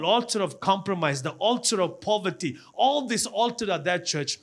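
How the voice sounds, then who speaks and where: Male preacher, Malaysian accent, high-pitched voice, impassioned and exhorting sermon delivery with frequent repetition. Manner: repetitive, exhorting, often addressing the congregation.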